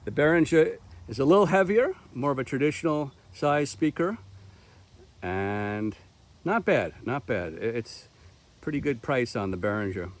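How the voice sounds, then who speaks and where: A man's voice: mid-range voice, lively intonation, normal speed. Male gear reviewer, mid-range voice, casual and explanatory vlog delivery, recorded outside in a park. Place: outdoors in a park.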